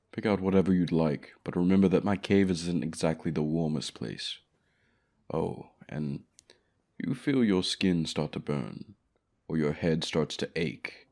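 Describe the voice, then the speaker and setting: A man's voice: low-pitched, vocal fry, a little mellow. A man voicing a haughty, commanding dragon in a fantasy ASMR roleplay, speaking formally with pompous condescension. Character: haughty male dragon, commanding keeper of a human pet.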